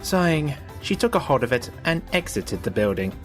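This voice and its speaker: Animated male storybook narrator. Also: mid-range voice, moderate pace, soft background music.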